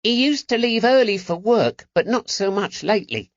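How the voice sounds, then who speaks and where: A man voicing a cheeky, eager young street urchin with a high-pitched voice and slangy talk, in an audiobook. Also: expressive, normal speed, no room sound, highs cut off. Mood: proud, chatty. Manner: colloquial street slang, bargaining.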